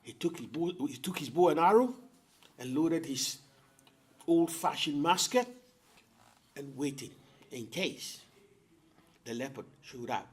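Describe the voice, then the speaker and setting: A man telling a folktale as host, with theatrical, deliberate phrasing and dramatic pauses. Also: on the high side, lively intonation, husky. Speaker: storyteller, host. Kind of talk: oral folktale storytelling to an audience.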